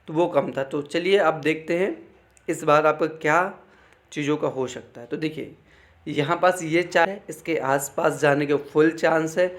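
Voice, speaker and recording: A man, talking informally and unscripted like a coaching presenter, instructive and repetitive, often checking in with the listener. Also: fairly high pitch. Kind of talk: informal explainer talk, unscripted.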